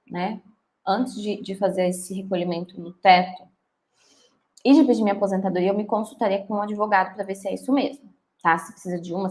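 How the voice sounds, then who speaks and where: A woman lawyer, likely in her thirties, answering viewer questions in a chatty, reassuring live session. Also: medium pitch. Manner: chatty and reassuring, often ends with "tá?". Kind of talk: live Q&A, reading comments aloud.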